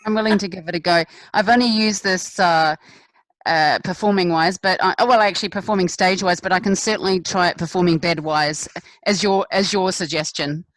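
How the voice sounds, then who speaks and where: A woman's voice: on the low side, steady tone, brisk, no echo, low-quality recording. A woman chatting casually on a group video call in a hesitant, wry way. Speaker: guest performer.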